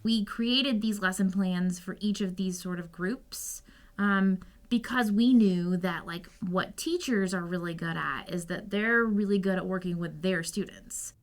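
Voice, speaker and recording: A woman's voice: medium pitch, measured pace. A woman professor giving conversational answers in an interview. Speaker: math professor being interviewed.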